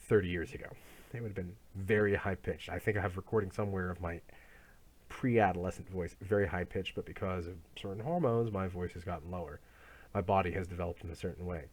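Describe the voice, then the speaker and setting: A man with a low voice lectures to a class in an easy, conversational way. He often stumbles and restarts his sentences as he explains. He sounds like he is in his thirties. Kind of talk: recorded college lecture.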